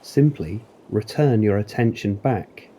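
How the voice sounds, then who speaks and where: A man narrating a guided meditation in a calm, deliberate, soothing manner with long pauses. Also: on the low side, slight background noise. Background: waves.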